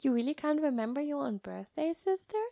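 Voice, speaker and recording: A woman's voice: sits high, big pitch swings, a little fast, vocal fry, dark tone, dry recording, studio quality, voice pushed up, heavily acted. A woman voicing a sulky, earnest little girl in a storybook reading, pouting and petulant. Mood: shyly curious. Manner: petulant, childlike phrasing.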